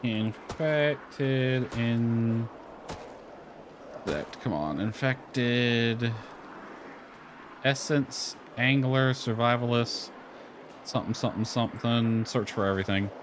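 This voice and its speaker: A man's voice: mid-range voice, fairly even intonation, slow pace, long pauses. A man thinking aloud in casual live game commentary, muttering to himself with mild exasperation. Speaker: game streamer. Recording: clearly noisy background.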